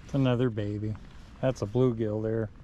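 A man's voice: fairly low pitch, little pitch movement, normal speed, dark tone, dull audio. A man fishing from a small boat on a lake, making dry laid-back remarks about his catches. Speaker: channel host, angler. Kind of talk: casual chat while fishing. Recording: outdoor wind and water noise.

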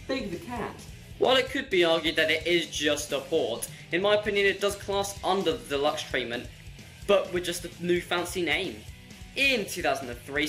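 A man's voice: a little high, a bit bright. A teenage male video host reading a scripted game review in a wry, tongue-in-cheek manner.

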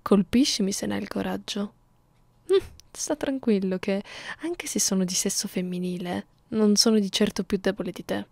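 A woman playing a young, haughty, predatory female vampire in an ASMR roleplay, moving between whispers and soft speech in a condescending, teasing way. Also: medium pitch, vocal fry, no echo. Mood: predatory, taunting. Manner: slow, teasing, condescending, menacing. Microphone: close ASMR microphone.

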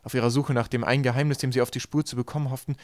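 A man's voice: medium pitch, steady tone, very fast, dry recording. A man reading scripted audiobook narration as the storyteller.

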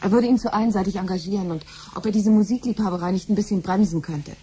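A woman plays a middle-aged mother in a 1970s radio play, gentle but worried. Her medium-pitched, warm-toned voice soothes and pleads as she keeps the peace. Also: fast, slight background noise, natural voice.

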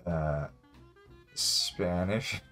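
A man's voice: low voice, drawn-out words, pushed and bright. A young adult man thinking aloud in casual chat as he plays a guessing game. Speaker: player in a geography guessing game. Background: music in the background.

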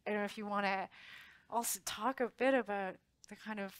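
A woman's voice: mid-range voice, fairly even intonation, fairly quick. A woman in her forties, a professor moderating a public panel, speaking informally and laughing easily with her guests. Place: large auditorium stage. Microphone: headset mic.

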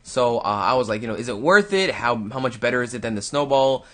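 A man's voice: mid-range voice, quick pace, sharp tone. A young man giving casual, rambling gameplay commentary, talking to himself and his viewers close to the mic. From Canada. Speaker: gaming YouTuber. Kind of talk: casual let's-play commentary.

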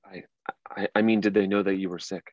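A man's voice: low voice, flat delivery, fairly quick, dry recording, highs cut off, close to an everyday voice. A man acting an awkward, hesitant, well-to-do character in his late thirties at a table reading. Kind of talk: dramatic script reading.

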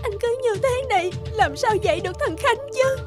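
Very high, distraught mother. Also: animated, moderate pace. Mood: reproachful. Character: distraught, careworn mother, scolding and anxious. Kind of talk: cartoon voice acting. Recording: music bed behind the voice.

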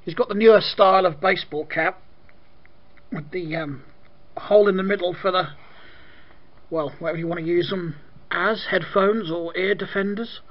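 A man from Britain with a croaky voice, casually reviewing a toy set, rambling with lots of ums and clearing his throat. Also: sits high, fairly even intonation, moderate pace, long pauses, muffled recording. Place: indoor room at a desk with toy display.

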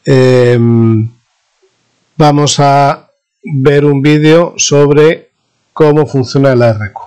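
Spanish man explaining in a halting way. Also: mid-range voice, steady tone, darkish tone, clean tone. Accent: Spanish (Spain). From Spain. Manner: explanatory, halting, checks in with listeners. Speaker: university professor, instructor. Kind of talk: informal technical video tutorial commentary.

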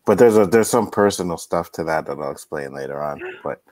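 A man's voice: a bass voice, animated, a little fast, a little mellow, no echo. A man talking easygoing in a casual video-call panel chat, on a headset mic. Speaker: guest.

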